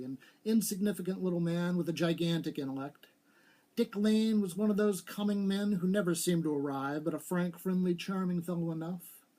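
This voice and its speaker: A man's voice: a little high, mellow. A man giving a live reading of a short story, narrating as he goes. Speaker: story reader.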